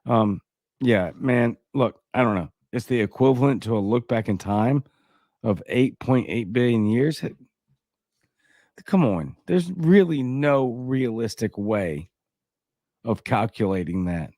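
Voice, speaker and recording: A man's voice: mid-range voice, lively intonation, slow, darkish tone, no room sound, clean studio sound. A man with a Cajun accent hosting a casual livestream, reading news and viewer comments with skeptical, rambling asides. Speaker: livestream host.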